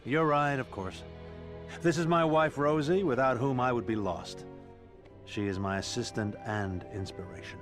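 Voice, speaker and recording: A man's voice: mid-range voice, moderate pace, over music, close to an everyday voice. An actor voicing a proud, courteous male scientist in a video game, explaining his invention with enthusiasm.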